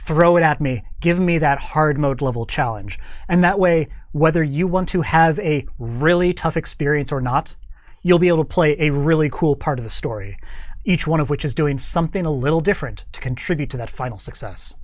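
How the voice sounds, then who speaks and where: A man's voice: a little high, fairly quick, dry recording. A man speaking as a guest developer in a studio interview, explaining details informally and acting out imagined players' remarks.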